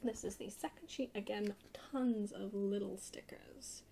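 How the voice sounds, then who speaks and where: Soft spoken asmr lady showing her haul, croaky whispery voice, bit of hiss and crinkling behind. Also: medium pitch, unhurried. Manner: hushed, often dropping into whispers. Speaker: haul video host. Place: indoors at a table. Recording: noisy close home recording.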